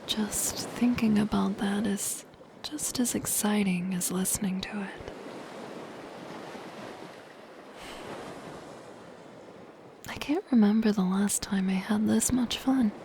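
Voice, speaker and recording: A woman voicing a soft-spoken, possessive and lonely siren in an ASMR roleplay, in a breathy, gentle, intimate whisper. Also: medium pitch. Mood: fascinated, shy. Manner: slow, gentle, intimate delivery. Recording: close whisper under ocean surf noise. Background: ocean waves and surf.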